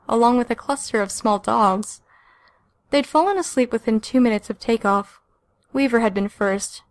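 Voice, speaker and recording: A woman's voice: fairly high pitch, no room sound. A woman reading a scripted story as an audiobook narrator.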